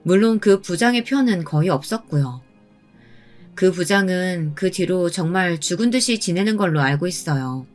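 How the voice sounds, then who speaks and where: Calm woman narrating a story over soft music. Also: on the low side, normal speed. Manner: calm and pointed, recounting with indignation. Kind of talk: scripted first-person story narration. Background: soft background music.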